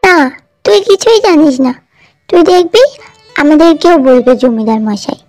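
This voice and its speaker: A woman's voice: high-pitched, sing-song, moderate pace, a little mellow, soft background music. A woman voicing an eager, hopeful poor little village girl in a cartoon. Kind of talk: cartoon voice acting.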